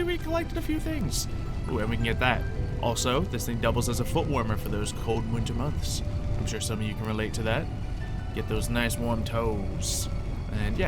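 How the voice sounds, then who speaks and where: A man giving casual, chatty let's play commentary, explaining things as a gaming video host. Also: on the low side. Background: background music.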